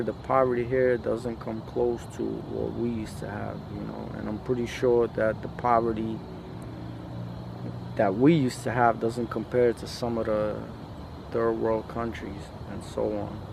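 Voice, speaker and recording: Low, slow, gravelly-voiced man. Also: flat delivery, background noise. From post-Soviet country. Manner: halting, repeats words, slangy "man" and "you know". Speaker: podcast host. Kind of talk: casual monologue to camera, reflective.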